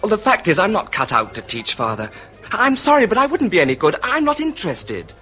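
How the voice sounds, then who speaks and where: An actor playing an earnest, ambitious young English son in a period radio drama, eager and insistent. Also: male voice, high-pitched, wildly swooping pitch, brisk, bright, forceful tone, soft background music, full character voice. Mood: earnest, uneasy. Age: young adult.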